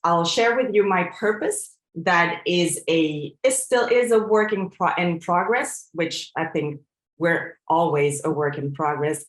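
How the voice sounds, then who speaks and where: A woman in her forties from Belgium, a coach giving a presentation in an earnest, reflective way, often pausing on fillers and restarting her sentences. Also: low-pitched, echoey room, poor audio. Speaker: coach and trainer. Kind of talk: presentation to an audience.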